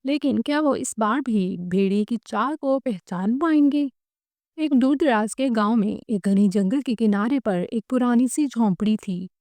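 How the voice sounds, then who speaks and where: A woman narrates a story from a script in a fairly high voice. The sound is dry, with very little room in it, as she takes the role of storyteller. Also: measured pace, darkish tone, dry recording.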